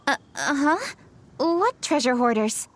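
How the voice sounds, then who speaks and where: A woman's voice: sits high, very animated, a little slow, heavy background noise. A woman voicing a dutiful young noblewoman in a video game, polite, composed and articulate. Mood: sheepish. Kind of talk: English video game dub acting. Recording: music behind the voice.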